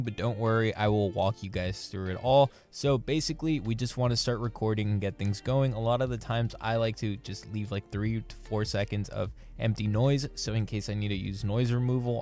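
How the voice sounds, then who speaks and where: A young man talks straight to his viewers in a lowish, chatty voice, upbeat and easy. He sits close to a podcast mic on a boom arm in a small, dim bedroom studio. Faint music hums underneath. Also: darkish tone. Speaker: YouTuber teaching audio editing. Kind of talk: casual tutorial.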